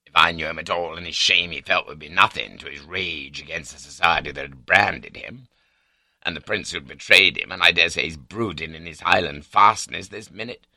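A man playing a cynical, irascible old gentleman raconteur in an audiobook, pompous and wry, with an upper-class British accent. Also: low-pitched, creaky, no echo, heavily acted. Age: older.

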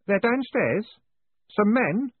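A man's voice: sits high, very animated, slow pace, slightly rough, no room sound, pitched above the performer's own voice, exaggerated voice. A man voicing a timid, uncertain boy in an audiobook story, speaking hesitantly and nervously. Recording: muffled phone-like audio.

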